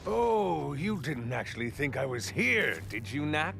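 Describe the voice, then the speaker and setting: A man playing a sly, gloating goblin villain in a video game cutscene, with a theatrical, mocking, drawn-out delivery. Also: on the high side, big pitch swings, gravelly, heavily acted. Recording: loud music under the voice.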